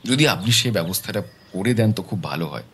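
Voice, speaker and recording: An actor playing a serious, determined detective in an audio drama, speaking in a deliberate way. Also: male voice, fairly low pitch, lively intonation, a bit bright, slightly rough. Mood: businesslike. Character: serious, determined male private detective, methodical and businesslike.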